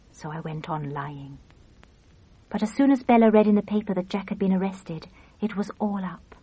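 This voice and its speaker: Soft, husky, weary young woman played by an actress. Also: medium pitch, no room sound, natural voice, rough recording. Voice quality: breathy, husky. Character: weary, remorseful young woman reading her letter. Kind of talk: radio drama acting.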